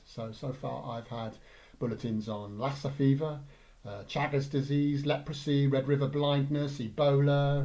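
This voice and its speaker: A man's voice: medium pitch, warm tone, rough recording. A man with an English accent reading a light-hearted first-person story as narrator. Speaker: story reader.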